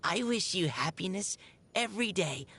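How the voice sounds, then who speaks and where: A young woman voicing a shy, gentle, earnest army scout in a video game, speaking politely and hesitantly. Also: on the low side, expressive, normal speed, husky, heavily acted. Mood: warm, grateful. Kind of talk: video game character voice lines. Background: music.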